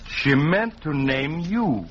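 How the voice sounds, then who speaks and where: An actor playing a vain, shrewd detective with a French accent and courtly, grand manner in a 1940s radio drama. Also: male voice, a little high, lively intonation, slow pace. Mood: smug, triumphant. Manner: pompous, courtly, speaks of himself grandly. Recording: dull, noisy 1940s radio recording.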